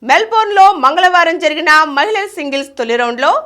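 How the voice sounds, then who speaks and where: A woman's voice: extremely high pitch, expressive, fast talker, fairly bright tone. A woman reading a scripted news bulletin as the newsreader. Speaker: news reader.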